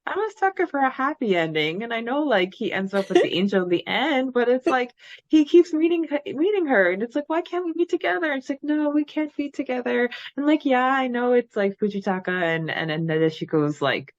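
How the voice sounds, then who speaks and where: Animated woman, dry muffled lo-fi recording. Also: fairly high pitch, fairly quick, no room sound. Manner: animated, acts out imagined dialogue. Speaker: podcast co-host. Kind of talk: casual book-discussion chat.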